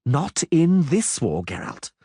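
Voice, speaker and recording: A man voicing a frantic young male bard who pleads and dramatizes, in a husky voice. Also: a little high, wildly swooping pitch, takes it slowly, dark tone, no room sound, pitched above the performer's own voice, heavily acted. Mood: grave. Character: panicky excitable male bard, young adult.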